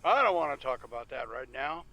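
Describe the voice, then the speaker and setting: Guy doing an uneasy outcast in a sci-fi drama, bright tense voice, dodgy answers, slightly noisy recording. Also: medium pitch, very animated, fairly quick. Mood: uneasy, evasive. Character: nervous, evasive male outcast. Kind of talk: sci-fi audio drama field interview. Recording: faintly noisy field recorder.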